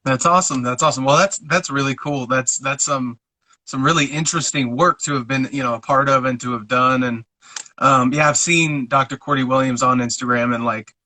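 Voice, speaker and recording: A man hosts a casual podcast interview, talking earnestly and circling back on his words. His voice sits at an ordinary pitch but has a very bright tone. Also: fairly even intonation, brisk, no echo. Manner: earnest, rambling, frequent restarts. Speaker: podcast host.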